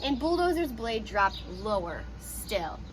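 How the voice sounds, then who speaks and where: Casting: female read-aloud storyteller, high voice, very lively intonation, some background noise. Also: slow pace, poor audio. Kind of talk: expressive children's story narration.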